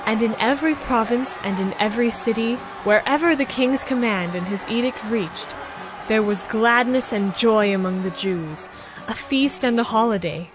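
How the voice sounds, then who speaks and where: High-voiced woman narrating scripture. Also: moderate pace, noisy background. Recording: music behind the voice.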